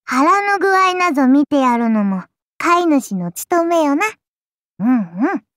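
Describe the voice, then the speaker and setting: An imperious little oni god-child for an anime game, high-voiced and very animated. The delivery is slow and lordly, with archaic phrasing and a playful, indulgent haughtiness, like an ancient deity talking down to a pet. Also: female voice, no echo, clean studio sound. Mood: indulgent, teasing. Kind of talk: anime game character voice lines.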